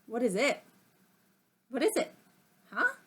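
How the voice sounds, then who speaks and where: A woman's voice: a little high, sing-song, slow, fairly bright tone, husky, exaggerated voice. A woman voicing a grumpy, hungry monster puppet who lives in a trash can, in a blunt, demanding storybook read-aloud voice. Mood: puzzled.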